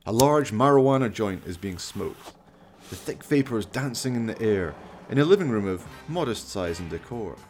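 A man's voice: fairly low pitch, lively intonation, normal speed, darkish tone. A man giving theatrical, wry scripted narration for a horror radio play. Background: music.

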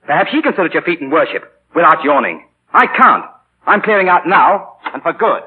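An actor playing a bitter, defiant grown son, sarcastic and clipped, in 1940s radio drama style. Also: male voice, on the high side, big pitch swings, a little fast. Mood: bitter, scornful. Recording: tinny, rough 1940 radio broadcast.

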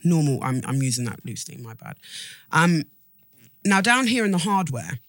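A woman's voice: low-pitched, very animated, normal speed. A woman co-hosting a podcast, explaining things informally and emphatically, repeating phrases and checking that listeners follow. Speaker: podcast co-host. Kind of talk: casual explanatory chat.

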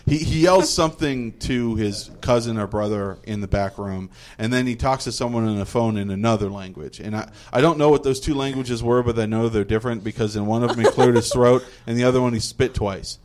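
A young man hosting a podcast, chatting casually in a halting, rambling way with many restarts. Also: mid-range voice, darkish tone. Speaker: podcast host.

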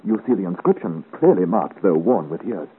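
A man's voice: sits low, animated, a little fast. An actor playing an earnest, gallant young swordsman in a 1950s radio serial, speaking in formal, courtly period diction. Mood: impassioned. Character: earnest, gallant young swordsman of great size, seeking his father's name. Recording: muffled, hissy old radio serial recording.

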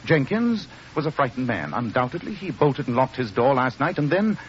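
An actor playing an assured, precise gentleman detective in a radio drama. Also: mid-range voice, sing-song, natural voice. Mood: assured, analytical. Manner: crisp, deliberate, deductive. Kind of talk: old radio drama acting. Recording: muffled old radio recording, faint noise.

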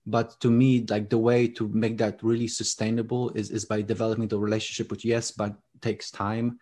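A man's voice: fairly low pitch, little pitch movement, moderate pace, mellow. Earnest man, Polish native speaker. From Poland. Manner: earnest, many restarts and fillers. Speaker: guest author. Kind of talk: conversational interview.

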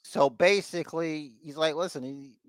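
A man's voice: fairly high pitch, normal speed, noisy background. A man hosting a podcast, giving a casual, rambling recap with mock exasperation and repeated phrases for emphasis. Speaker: podcast host recapping wrestling.

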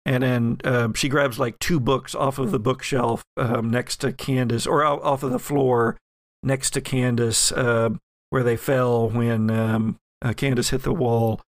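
A man's voice: mid-range voice, flat delivery, unhurried, no echo. A man narrating a horror role-playing audio drama as game master, with descriptive, suspenseful storytelling.

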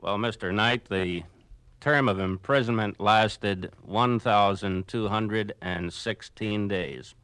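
Low-voiced man, formal and measured. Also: a bit bright, no echo. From Brooklyn, New York. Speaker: interview guest, former prisoner of war. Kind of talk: formal prepared radio interview answers.

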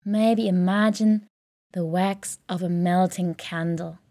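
Female meditation guide: unhurried, soothing delivery, lowish voice, gentle pauses for a bedtime relaxation script. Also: steady tone, no echo. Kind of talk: guided sleep meditation, soft scripted narration.

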